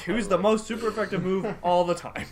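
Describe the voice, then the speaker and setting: A man's voice: sits high, steady tone, fairly quick, a crowd in the background. A man co-hosting a podcast, chatting casually and chiming in agreeably, with other voices chattering around him. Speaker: podcast co-host. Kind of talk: casual group chat.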